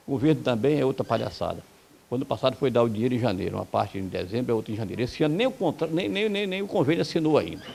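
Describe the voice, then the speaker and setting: A man from Brazil speaks quickly with a gravelly, rough-edged voice. He answers interview questions bluntly and with some heat, firing off complaints one after another. A faint hiss of noise sits behind him. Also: mid-range voice, a little mellow. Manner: blunt, heated, rapid-fire complaints. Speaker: football coach.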